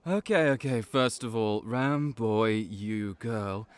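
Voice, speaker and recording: A man's voice: lowish voice, slow pace, clean tone. A man playing a teasing young ram hybrid who works in a cafe, with playful, needling banter in an audio roleplay. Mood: goading.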